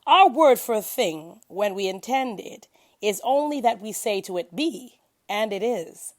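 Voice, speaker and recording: A woman's voice: mid-range voice, animated, measured pace. A woman reading a translation of scripture aloud as devotion, in a solemn, declamatory manner with emphasis on key phrases. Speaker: scripture reader.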